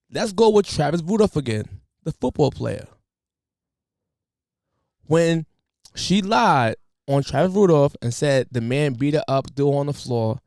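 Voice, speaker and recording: A young man from the United States hosting a podcast, ranting in an animated, preachy way with casual slang. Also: medium pitch, gravelly, dry recording, clean studio sound. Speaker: podcast host.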